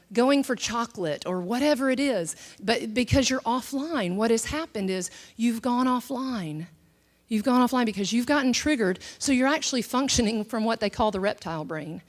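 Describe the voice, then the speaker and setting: Conversational woman teaching in church. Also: a little high. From southern United States. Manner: conversational, many fillers and restarts, acts out quoted thoughts. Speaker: speaker at a church teaching session. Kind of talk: teaching talk mixed with personal testimony.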